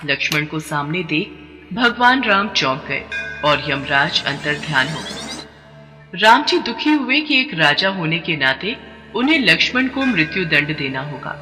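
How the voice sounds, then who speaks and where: A man narrating a devotional story in a steady, expressive way. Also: a little high, a little slow. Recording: long reverb over background music.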